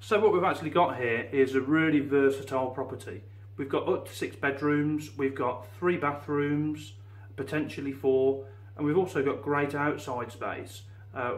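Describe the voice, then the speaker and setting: A man in his forties, an estate agent, walking through a house and pointing out rooms in a steady, informative way. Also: mid-range voice, a little hiss or hum. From England. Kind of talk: property walkthrough tour.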